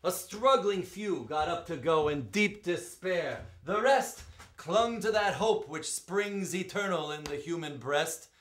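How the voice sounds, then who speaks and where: A man performing as a poetry reader, with a theatrical, emphatic storytelling delivery and drawn-out words. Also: high voice, lively intonation, fairly bright tone. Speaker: performer reading poetry aloud. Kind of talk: dramatic poetry recitation with spoken introduction.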